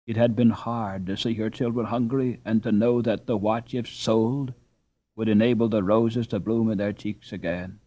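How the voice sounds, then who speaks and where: A man reading a classic children's novel aloud as a slow, expressive audiobook narrator. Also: on the low side, low-quality recording. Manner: slow, expressive, lively intonation. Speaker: audiobook reader. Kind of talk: scripted storybook narration.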